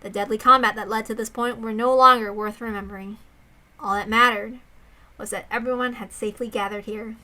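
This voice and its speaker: A woman's voice: fairly high pitch, fairly even intonation, fairly quick, bright, forceful tone. A woman reading a visual novel aloud, mixing dramatic narration with casual asides.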